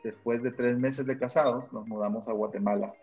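A man's voice: mid-range voice, fairly even intonation, fairly quick, a little mellow, lo-fi sound, soft background music. A man in his thirties, raised in Honduras, a missionary and pastor telling his life story casually with frequent fillers. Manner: chatty, many "ah" fillers, laughs easily. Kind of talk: relaxed personal storytelling.

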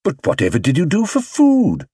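A man's voice: fairly high pitch, wildly swooping pitch, warm tone, slightly rough, no room sound, studio quality, voice pushed up, exaggerated voice. Guy doing a flustered proper husband in a storybook, umming and doubtful, voice jumping up and down. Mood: concerned. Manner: doubtful, halting, anxious. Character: hesitant, proper, easily embarrassed middle-class husband.

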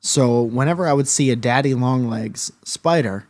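A man's voice: medium pitch, normal speed, a little mellow, no echo. Man, podcast co-host, playful and rambling, dry close sound. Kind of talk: casual banter.

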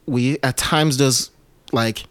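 A man hosting a casual interview podcast, rambling with many fillers and restarts and lively asides. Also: mid-range voice, slow, no room sound. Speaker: podcast host.

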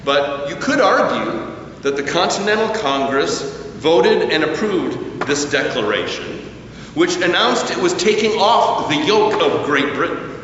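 A man preaching a sermon at a slow, deliberate pace, his voice rising and falling with emphasis. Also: fairly high pitch, background noise. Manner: slow, deliberate preaching with lively rises and falls. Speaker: pastor. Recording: echoey church hall, distant mic, dull sound.

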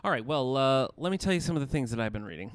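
A man's voice: mid-range voice, lively intonation, very fast, clean tone. A man in his thirties co-hosting a casual chat, joking and rambling with filler restarts. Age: 30s. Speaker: podcast co-host.

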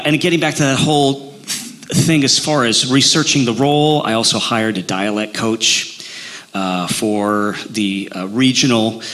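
A man with a Midwestern accent talks loosely, circling back with fillers and restarts. His voice sits a little low but sounds bright and forceful. He is an actor chatting in an interview. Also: poor audio. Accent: Midwestern American. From Illinois. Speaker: actor playing Davy Crockett, interview guest. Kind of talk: casual interview talk.

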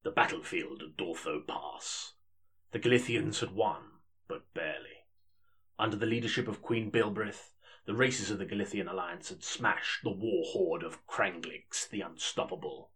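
An actor playing a polite, flustered British audiobook narrator in a comedy, with a husky voice. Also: male voice, low voice, normal speed, fairly bright tone. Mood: solemn narrating. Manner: hesitant, apologetic, polite. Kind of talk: comedic acting, reading high fantasy narration.

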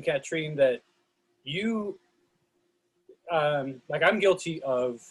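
A man hosting a discussion on a video call, excitable and lively, often restarting his sentences. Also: fairly high pitch, husky. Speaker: host of a play reading discussion. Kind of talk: casual group discussion.

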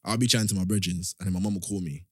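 Quick, low-voiced man with a Jamaican accent. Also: steady tone, a little mellow, no echo, studio quality. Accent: Jamaican and London English. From born in England, grew up in Jamaica. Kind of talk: casual podcast chat.

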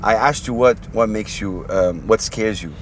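Male interviewer, lowish voice, friendly, rambling questions, code-switching. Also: moderate pace, a little hiss or hum. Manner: friendly, rambling questions, mixes in Arabic words. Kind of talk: casual interview talk.

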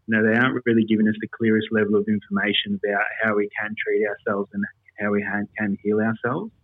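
A man's voice: on the low side, dry recording. An Australian man with an Australian accent speaking earnestly and explaining things as an interview guest over a remote call. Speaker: interview guest, military veteran. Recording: dull lo-fi call audio.

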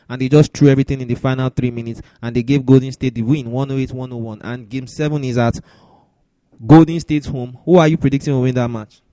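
A man's voice: medium pitch, warm tone, no echo. A man hosting a sports podcast, talking through news and results as commentary. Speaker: podcast host.

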